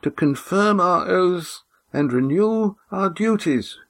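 A man's voice: high-pitched, animated, slow, voice pushed up, exaggerated voice. A man voicing a frail old patriarch with a thin but firm, formal voice.

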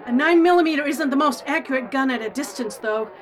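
Woman as very high-voiced, gutsy markswoman. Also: quick pace, lightly acted. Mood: determined. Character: determined female police-trained markswoman. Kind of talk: horror radio drama acting.

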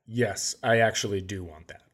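A man's voice: fairly low pitch, normal speed, fairly bright tone. A middle-aged American man co-hosting a podcast, trading casual, wry comic banter. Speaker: podcast co-host.

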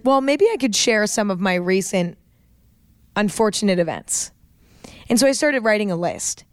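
A young woman hosting a podcast with a raspy voice, telling stories in a chatty, rambling way. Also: medium pitch, dry recording, studio quality. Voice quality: raspy today. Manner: chatty, rambling with lots of likes. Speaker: podcast host. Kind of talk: casual storytelling monologue.

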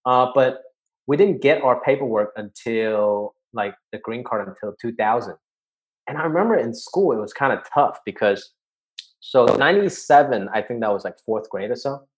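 A man from Hong Kong settles into a relaxed, conversational story as a podcast guest. His voice sits at a middle pitch and drops low and quiet for his asides. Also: normal speed, rough recording. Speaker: guest comedian. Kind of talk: casual podcast chat, storytelling.

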